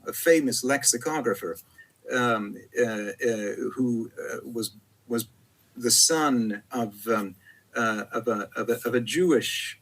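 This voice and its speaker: A man who grew up speaking Italian talks about literature in an interview, his voice husky and mid-pitched. He halts and circles back often, with many fillers and repeated words. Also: a bit bright, rough recording. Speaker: poet, interview guest. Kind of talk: reflective conversational interview.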